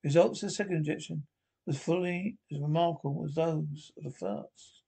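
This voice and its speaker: A man's voice: on the high side, normal speed, vocal fry, dark tone, husky. A man narrating a radio drama script by himself, reading stage directions aloud and stumbling over words.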